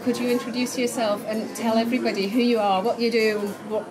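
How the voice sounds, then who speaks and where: A middle-aged woman hosting a casual podcast chat, chatty and quick to laugh. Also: on the high side, flat delivery, music in the background, rough recording. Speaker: podcast host. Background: crowd chatter.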